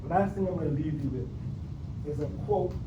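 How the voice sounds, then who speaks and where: A man in his sixties from Trinidad and Tobago gives a motivational talk and keeps turning his points into questions. His voice sits high for a man. He is picked up from a distance in an echoey room with a clear noise bed behind him. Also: fairly quick, muffled recording. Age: 60s. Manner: keeps asking "right?" after points; plays with invented long words. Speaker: motivational speaker. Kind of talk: motivational talk to a sales team.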